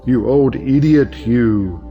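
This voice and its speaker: An actor playing a jovial, sentimental older judge in an audio play, declaiming in a drawn-out, theatrical way. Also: male voice, medium pitch, highs cut off, soft background music. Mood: exuberant.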